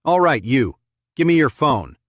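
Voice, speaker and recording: A man's voice: mid-range voice, sing-song, unhurried, no room sound, studio quality. Casting: stern, taunting man in command for audio fiction, read by a male narrator, mid-range, menacing and cold. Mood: mocking command.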